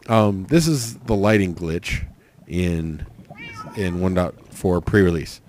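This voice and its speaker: A man with a cold, hoarse and raspy, rambling through casual gameplay commentary with lots of fillers. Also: low voice, slow, a little mellow, slight background noise. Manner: rambling, thinking aloud with many fillers. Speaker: let's play host.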